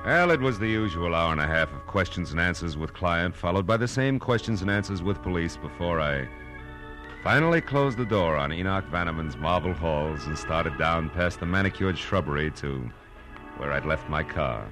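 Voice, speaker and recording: A man's voice: deep voice, animated, moderate pace. An actor playing a tough, world-weary male private detective in an old radio drama, with wry, clipped delivery. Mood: brooding narration. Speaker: lead actor and first-person narrator of a detective radio drama. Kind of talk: hard-boiled 1940s-50s radio acting, scripted narration. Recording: vintage radio recording with music.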